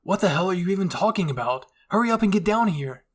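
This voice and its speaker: Male narrator as fiery teen soldier in anime fan fiction, higher-pitched, indignant. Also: lively intonation, fast, husky. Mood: annoyed. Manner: indignant, impatient, incredulous. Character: hot-headed, emotional teenage soldier boy. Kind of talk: fan fiction audio roleplay.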